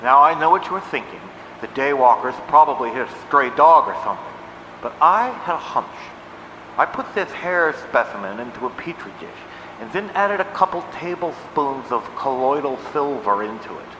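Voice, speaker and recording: A man's voice: mid-range voice, animated, normal speed, pushed and bright. Guy doing nerdy earnest science sidekick, precise and dramatic, lots of reverb, some noise behind. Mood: dramatic, urgent. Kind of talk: audio drama dialogue. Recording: reverberant, noisy background.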